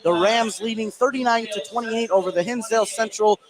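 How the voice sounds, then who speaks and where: A man's voice: high-pitched, fairly quick, crowd noise. An American man doing live play-by-play commentary of a basketball game. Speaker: play-by-play announcer. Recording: clear gym noise behind live commentary. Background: noisy basketball gym.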